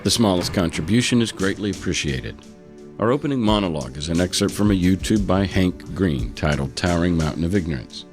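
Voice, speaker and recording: A man's voice: low-pitched, expressive, brisk, soft background music. A man with an American accent reading a scripted podcast introduction as the host.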